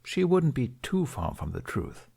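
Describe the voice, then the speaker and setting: A man's voice: mid-range voice, sing-song, measured pace, creaky, mellow, slightly rough. A man reading scripted story narration as an audiobook narrator.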